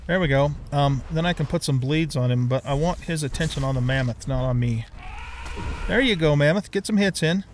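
Older American male gaming host, somewhat higher-pitched voice, folksy and easygoing, casual let's play narration. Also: brisk, a little hiss or hum. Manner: folksy, easygoing, thinks aloud.

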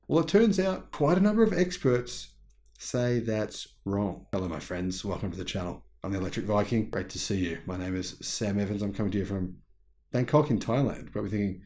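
A man in his thirties with an Australian accent, hosting his own channel with animated, chatty commentary to camera from a small curtained room. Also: medium pitch. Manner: animated, chatty, jokey asides. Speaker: channel host.

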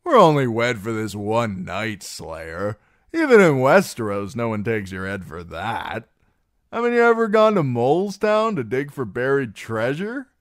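A man's voice: medium pitch, wildly swooping pitch, no echo, studio quality, pitched above the performer's own voice. A man voicing a boisterous, drunken young singer in an audiobook, cocky and mocking, with a swaggering drawl. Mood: teasing. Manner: loud, swaggering, slow drawn-out delivery. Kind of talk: audiobook character voice.

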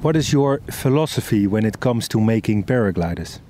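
A man's voice: lowish voice, lively intonation, brisk, background noise. A male host asking an interview guest short, direct questions.

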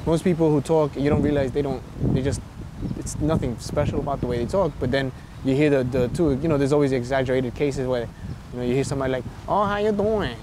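A man with a New York accent, talking in a relaxed, animated way in a documentary interview on an outdoor park bench. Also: mid-range voice, quick pace, background noise.

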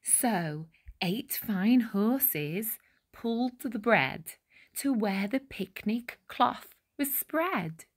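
A woman reading a rhyming children's storybook aloud with deliberate, dramatic phrasing. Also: fairly high pitch, animated. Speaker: picture book reader.

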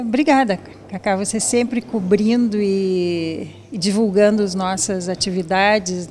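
Brazilian woman giving an interview, measured and hesitant, medium pitch, bit of event noise behind. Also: a little mellow. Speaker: physician and trade fair executive. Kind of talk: interview answer. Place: event lounge. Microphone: handheld interview microphone. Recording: faint event room noise.

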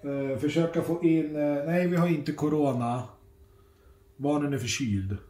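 A middle-aged man chatting casually for a family vlog, informal and sweary, often halting, recorded on a phone at arm's length indoors. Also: a little high, warm tone. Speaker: family vlogger. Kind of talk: casual handheld vlog chat. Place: indoors at home, rooms and kitchen. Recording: roomy echo, faint household noise.

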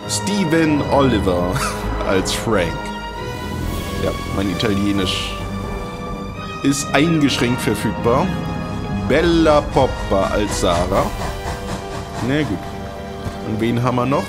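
Ein Mann kommentiert locker und mit trockenen Sprüchen ein Videospiel als Let's-Play-Host. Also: male voice, medium pitch, lively intonation, slow, long pauses. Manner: chatty, wry asides while reading game menus. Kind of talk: casual gameplay commentary. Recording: talking over game music.

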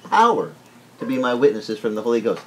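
A middle-aged man whose first language is Italian, preaching an animated teaching sermon indoors and asking the room questions. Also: medium pitch, fairly quick. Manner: animated, gesturing broadly, asks the room questions. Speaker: pastor. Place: wood-paneled indoor room.

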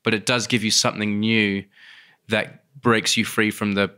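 A man co-hosting a podcast, talking in a measured, inquisitive casual chat. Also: fairly low pitch, level tone, bright, forceful tone, clear, resonant tone. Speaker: podcast co-host.

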